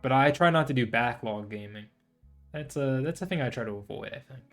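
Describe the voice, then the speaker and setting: A man's voice: medium pitch, expressive, a little fast, fairly bright tone. A man livestreaming in a casual chat style, rambling and thinking aloud as he hosts. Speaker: livestreamer, VTuber host. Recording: music behind the voice. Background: background music, guitar.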